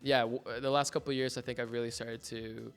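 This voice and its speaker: A young man with a Mexican accent in casual interview talk, relaxed and rambling with many fillers and restarts. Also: mid-range voice, fast, vocal fry, faint music behind. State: hungover. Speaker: graduating art student guest.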